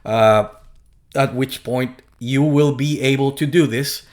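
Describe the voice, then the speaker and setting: A man with a Puerto Rican accent talking casually to camera, halting often with fillers and restarts and dropping in sarcastic asides. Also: mid-range voice, steady tone, a little mellow. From Puerto Rico. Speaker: video host.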